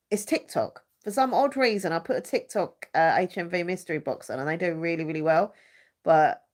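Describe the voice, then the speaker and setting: A young woman with a blocked-up nose, chatting casually and rambling on a live stream. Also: fairly low pitch. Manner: chatty and rambling, searches for words, many fillers. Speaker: YouTuber hosting a live chat.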